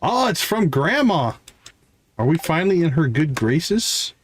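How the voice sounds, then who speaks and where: A man's voice: fairly high pitch, big pitch swings, measured pace, a little hiss or hum. A man giving casual live gameplay commentary, thinking aloud and chatting with viewers as he plays. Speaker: live streamer playing a farming game.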